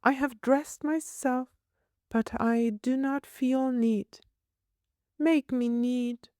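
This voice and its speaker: A woman's voice: sits high, fairly even intonation, takes it slowly, a little mellow, clear, resonant tone, no room sound, studio quality, voice pushed up. A woman voicing a small, solemn, homesick little girl in a storybook, speaking in precise, imperious, formal phrases. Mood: fussy, hushed urgency.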